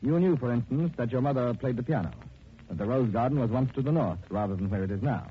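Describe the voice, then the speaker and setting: A man playing a shrewd, unflappable gentleman detective in a 1940s radio drama, urbane, measured and polite. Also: mid-range voice, breathy edge. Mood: smooth, probing. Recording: dull, noisy 1940s radio recording.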